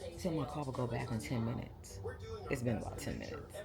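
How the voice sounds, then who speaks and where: A woman's voice: extremely low pitch, brisk, vocal fry, loud music behind the voice. A woman in her fifties with a New York accent, chatting casually and playfully to her vlog audience from her phone. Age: 50s. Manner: animated storytelling, playful. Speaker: vlogger. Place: in a car, then a waiting area at a tire shop. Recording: loud background noise.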